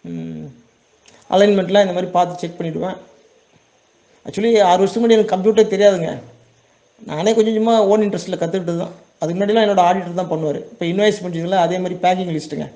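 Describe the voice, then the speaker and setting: High-pitched, quick-talking Tamil Nadu man. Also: vocal fry, dark tone. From Tamil Nadu, India. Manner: reassuring, plain-spoken, often checks in with listeners. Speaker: export business owner giving a tutorial. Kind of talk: informal spoken tutorial.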